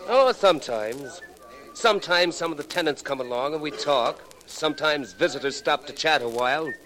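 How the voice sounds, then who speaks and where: A man's voice: a little high, animated, normal speed, a bit bright. A man playing a friendly working-class doorman, chatty and deferential, in a 1940s radio drama. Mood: chatty, respectful. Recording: noisy 1940s radio broadcast recording.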